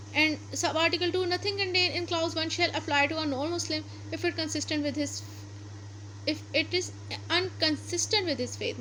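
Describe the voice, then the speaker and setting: A woman with a Pakistani accent teaches in a very high, bright voice. She explains like a lecturer, going back over each point in new words. There is some background noise on the recording. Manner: didactic, repeats and rephrases points. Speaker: law lecturer. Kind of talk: explanatory lecture, reading out statutes and paraphrasing them.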